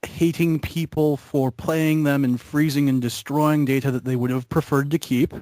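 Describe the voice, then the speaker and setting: A man's voice: fairly high pitch, little pitch movement, moderate pace, darkish tone, dry recording. A man talking casually about video games as part of a group of friends. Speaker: member of a gaming group.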